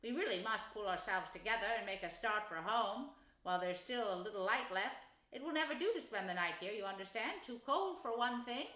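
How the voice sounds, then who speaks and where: A woman's voice: medium pitch, lively intonation, a little fast, sharp tone, echoey room, rough recording. A woman voicing a brave, good-natured male water rat in a storybook, with a cheery, emphatic and reassuring manner. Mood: brisk.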